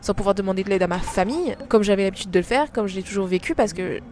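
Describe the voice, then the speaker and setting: A woman's voice: mid-range voice, racing, darkish tone. A young woman talking in a confessional vlog, emotional and hesitant, often restarting her sentences. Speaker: vlogger. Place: small apartment, then a café.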